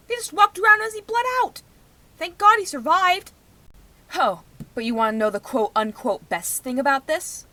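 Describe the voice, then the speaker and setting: Bright-voiced young woman, emphatic vlogger. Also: high voice. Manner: emphatic, rhetorical, outspoken. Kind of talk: rambling personal vlog commentary.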